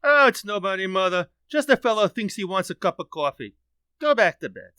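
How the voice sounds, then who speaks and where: A man's voice: high voice, big pitch swings, fairly quick, pushed and bright, clear, resonant tone, dry recording, clean studio sound, exaggerated voice. A man playing a curt, suspicious, folksy roadside stand keeper in a radio play. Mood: dismissive.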